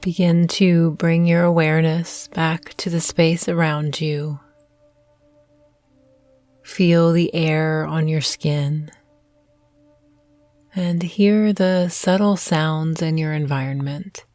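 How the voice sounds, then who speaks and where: Slow, low-pitched woman guiding meditation. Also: fairly even intonation, no room sound. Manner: soothing, gentle, measured with long pauses. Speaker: meditation guide. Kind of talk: guided meditation narration. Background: soft meditation music.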